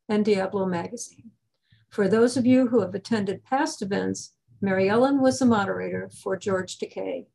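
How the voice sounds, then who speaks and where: A woman's voice: mid-range voice, gravelly, mellow, rough recording. An older woman, president of a library group, reading a scripted welcome announcement over a video call.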